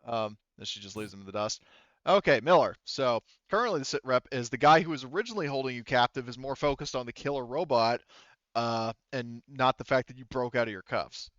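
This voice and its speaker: A man acting as game master for a tabletop game over voice chat, pausing often with ums as he works through the rules. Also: mid-range voice, fairly bright tone, no room sound, dull audio. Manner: halting, many ums and restarts while narrating rules. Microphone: headset.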